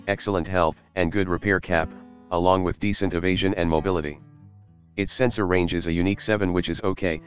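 A man's voice: low voice, clear, resonant tone. A man reading a scripted voice-over review of a tabletop game, casual and swearing now and then. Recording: light guitar music behind.

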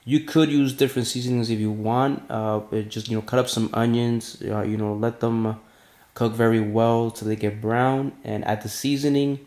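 A man's voice: medium pitch, fairly even intonation, normal speed, a little mellow. A man giving an informal how-to cooking voice-over as a fitness vlogger, addressing viewers casually.

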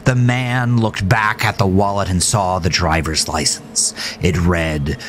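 A man's voice: sits low, fairly even intonation, measured pace, slight background noise. A man giving dramatic scripted horror narration in an ominous, theatrical manner. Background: music.

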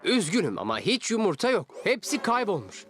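A man's voice: high-pitched, huge pitch swings, a little fast, fairly bright tone, faint music behind, heavily acted. A man voicing an apologetic grown-up who regretfully announces bad news in a cartoon dub.

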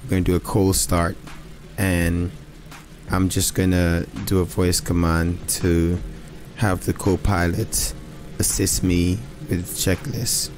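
A man's voice: deep voice, level tone, takes it slowly. An enthusiastic man casually narrating a demo as a video creator. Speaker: flight sim video creator. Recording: loud music behind voice.

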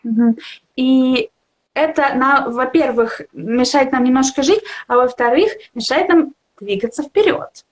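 A woman's voice: high-pitched, measured pace. A young woman chatting casually as a language teacher in a live discussion, encouraging and reflective, often searching for words. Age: young adult. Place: indoor room at home, video call.

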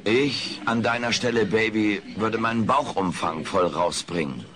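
A man's voice: on the low side, a little slow, crowd noise, natural voice. A man playing a smug, wisecracking furry alien comedian in a German audio drama. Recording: noisy old cassette audio drama.

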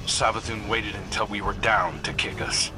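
A man's voice: lowish voice, fairly even intonation, normal speed, music in the background, natural voice, poor audio. A man voicing an earnest young space-soldier scout in a video game, speaking with urgency. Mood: alert, grim.

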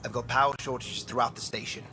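Actor as flustered male technician, mid pitch, noisy game audio. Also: steady tone, fast, bright, forceful tone, natural voice. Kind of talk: video game dialogue.

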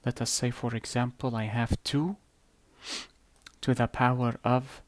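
A man whose first language is Arabic, explaining number systems slowly and deliberately over an on-screen drawing, with blunt asides. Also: mid-range voice, little pitch movement, dry recording. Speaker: math teacher. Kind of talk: screen-recorded whiteboard lesson.

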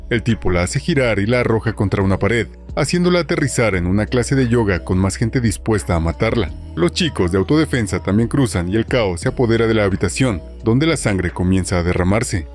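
A man's voice: on the low side, a little mellow. A man reading a scripted plot summary as a movie recap narrator, recorded as a close voice-over.

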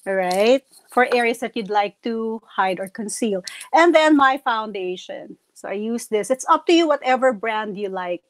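A middle-aged woman with a Filipino accent and a slightly raspy voice, casually walking through her makeup kit on a live video call through earphones. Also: on the high side, lively intonation, normal speed, few pauses, dry recording. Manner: shows products one by one to camera. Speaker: guest makeup presenter. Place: home room with shelves. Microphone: earphone headset on video call.

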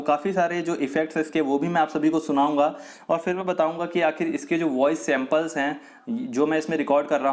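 A man speaks as a tech video host. His voice sits a bit high. He addresses viewers directly and explains step by step, leaning on repeated filler phrases. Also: darkish tone. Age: 20s. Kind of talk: explainer to camera. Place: small room indoors.

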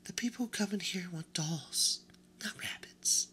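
A man voicing a vain, haughty female china doll in a high-pitched, breathy whisper. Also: unhurried, sharp tone. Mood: snooty. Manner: snooty and scornful.

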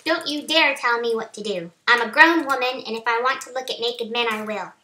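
High, bright helium-voiced woman reading fiction. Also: a little fast. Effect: voice raised by helium. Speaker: author reading own novel. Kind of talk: reading romance fiction aloud. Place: small home office, indoors. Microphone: webcam at arm's length. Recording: roomy echo.

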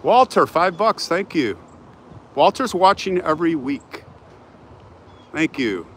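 A man's voice: fairly high pitch, big pitch swings, takes it slowly. An older man with an American accent chatting casually to live-stream viewers, hopping between remarks as he walks. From United States. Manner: chatty, jumping between remarks while walking. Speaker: vlog host. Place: outdoors in a large open cemetery. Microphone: handheld phone at arm's length. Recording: noisy outdoor urban ambience.

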